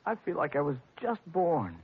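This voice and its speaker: A man's voice: fairly high pitch, sing-song, moderate pace, slightly rough, heavily acted. An actor playing an eager, earnest young stable boy in a 1940s radio drama. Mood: grateful, moved. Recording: muffled, noisy old radio broadcast.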